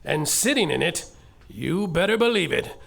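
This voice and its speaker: A man's voice: a little high, very animated, normal speed, vocal fry, fairly bright tone. A man narrating a comedic story live on a theater stage, hammy and increasingly exasperated. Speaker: narrator and lead performer. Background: live band music.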